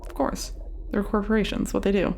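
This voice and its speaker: A woman's voice: mid-range voice, level tone, fairly quick, noisy background. A woman hosting a let's play, giving casual commentary on a game with wry, joking asides.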